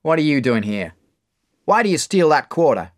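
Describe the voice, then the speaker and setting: A man voicing a rough but kind bar owner who talks in a brash, streetwise way in a storybook reading. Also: fairly high pitch, very animated, normal speed, pitched above the performer's own voice.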